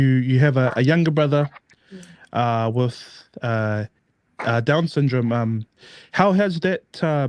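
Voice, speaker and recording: A man's voice: mid-range voice, a little mellow, no room sound. A man hosting a podcast, hesitant and full of fillers. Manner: hesitant, many fillers and restarts. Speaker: podcast host.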